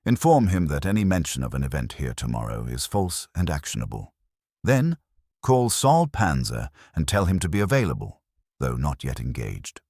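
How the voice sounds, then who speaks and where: Man voicing an imperious, very deep detective. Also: big pitch swings, normal speed, a little mellow, dry recording, studio quality, heavily acted. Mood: commanding. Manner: pompous, formal, clipped. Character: imperious, curt male detective, weighty and disdainful.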